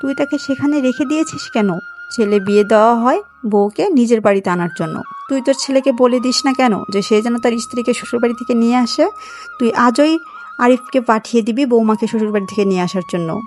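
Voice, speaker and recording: A woman's voice: on the high side, fairly quick, darkish tone, soft background music, close to an everyday voice. A woman voicing a bossy but kind elderly village auntie in a cartoon, insistent and scolding. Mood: indignant. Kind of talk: scripted moral-story cartoon dialogue.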